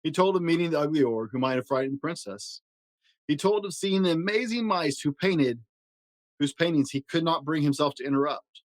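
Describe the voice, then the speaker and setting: A man narrating a children's storybook aloud with expressive delivery. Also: a little high, fairly quick, no room sound, rough recording. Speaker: storyteller and host.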